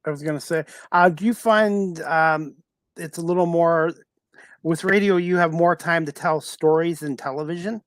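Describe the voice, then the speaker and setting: A man hosting a sports talk show, asking casual interview questions in a halting way and rewording them as he goes. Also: on the high side, dry recording.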